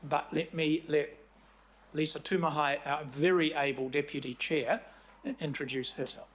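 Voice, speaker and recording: A New Zealand man in his sixties speaks to a council committee as a commission chair. His voice sits a little high, and he talks in a measured way, pausing often on fillers. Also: fairly even intonation, a bit bright. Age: 60s. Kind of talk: formal committee presentation.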